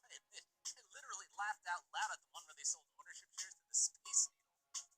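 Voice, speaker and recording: A man voicing a smug, nosy young hacker with a raspy half-whisper and a teasing, knowing way of talking, in a visual novel game. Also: sits high, fairly quick, bright, forceful tone, faint music behind, voice pushed up, full character voice, low-quality recording.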